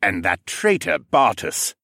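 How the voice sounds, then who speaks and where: A vengeful raptor-man regent played by a man, slow and growling, low in the chest. The storybook read is close and dry. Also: wildly swooping pitch, bright, forceful tone, breathy edge, no room sound, full character voice. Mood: grim.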